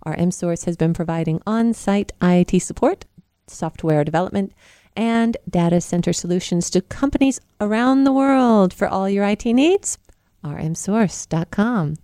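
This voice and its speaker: A woman's voice: medium pitch, expressive, moderate pace, mellow, smooth, no room sound, clean studio sound. A woman hosting a radio show, chatty and casual as she introduces songs and interviews a guest. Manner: chatty, with restarts and fillers. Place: radio studio.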